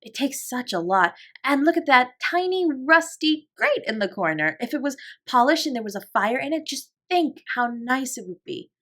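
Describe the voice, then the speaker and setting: A woman reading aloud and voicing a gentle, imaginative young girl who speaks softly and wistfully. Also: high-pitched, big pitch swings, moderate pace, hardly any pauses, pitched above the performer's own voice, full character voice. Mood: dreamy. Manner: soft, wistful, coaxing.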